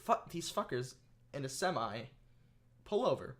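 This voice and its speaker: A young man co-hosting a podcast, telling a story in casual, animated chat with swearing and restarts. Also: a little high, unhurried. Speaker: podcast co-host.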